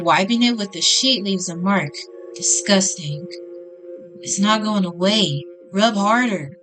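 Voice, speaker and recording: A woman reading visual novel text aloud as a gaming host, in an informal way with casual reactions. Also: on the low side. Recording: music behind the voice.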